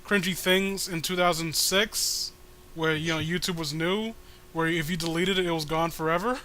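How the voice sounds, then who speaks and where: A man doing a casual comic read-along, halting as he drops sardonic asides between character lines. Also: on the high side, fairly even intonation, sharp tone, low-quality recording. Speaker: co-host reading a webcomic aloud.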